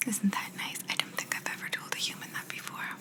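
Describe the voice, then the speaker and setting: A woman voicing a chatty, smug alien examiner in an ASMR roleplay, in a soft breathy whisper, unhurried and a little condescending. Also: close to an everyday voice. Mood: reassuring, explanatory. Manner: unhurried, fussy, mildly condescending asides. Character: smug, chatty female alien examiner, clinical yet reassuring. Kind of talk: ASMR personal-attention sci-fi roleplay.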